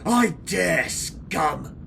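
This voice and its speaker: Male actor as bullying vampire soldier, slow delivery, raised pitch, sneering, threatening, fantasy audio drama. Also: expressive, gravelly, fairly bright tone, a little hiss or hum, heavily acted. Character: menacing, bullying vampire soldier extorting a weaker one. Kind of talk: fan audio drama dialogue.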